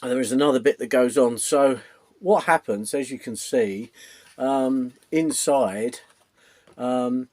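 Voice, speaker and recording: A man with a croaky voice from a cold talks slowly and unhurriedly, pausing on lots of ums as he shows each part. The recording is dry and close, with no room sound. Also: medium pitch, darkish tone, no echo. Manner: unhurried, many ums, explains while showing parts. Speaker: model-making channel host. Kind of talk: casual step-by-step demonstration.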